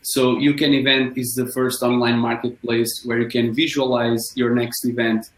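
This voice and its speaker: Man, even pitch-like intro, roomy sound. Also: moderate pace, slight background noise. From Portugal. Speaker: panelist, company founder. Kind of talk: panel self-introduction. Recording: roomy echo.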